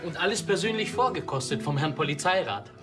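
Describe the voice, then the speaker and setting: A man's voice: fairly high pitch, lively intonation, a little fast, music in the background, natural voice. An actor playing an easygoing singer who loves his food, in a TV crime comedy. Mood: jovial. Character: easygoing male Neapolitan singer, a gourmand.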